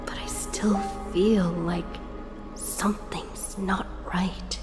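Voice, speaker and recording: A solemn, wary young heroine from a video game. She speaks slowly in a fairly low, pensive voice. Music plays clearly behind her. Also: steady tone. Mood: puzzled, uneasy. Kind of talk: scripted game voice acting.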